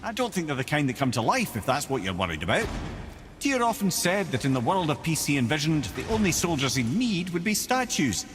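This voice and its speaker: A man's voice: on the high side, big pitch swings, brisk, noisy background. An actor with a Scottish accent playing a witty, learned, talkative advisor in a video game, wry and eloquent in his delivery. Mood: teasing, amused. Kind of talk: video game cinematic acting.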